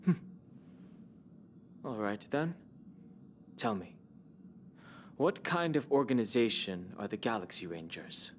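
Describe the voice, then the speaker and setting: An actor playing a reserved young guardian in a video game, asking short, measured questions. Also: male voice, lowish voice, sing-song, slight background noise. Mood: guarded. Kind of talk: video game cutscene acting. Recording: game audio with background music.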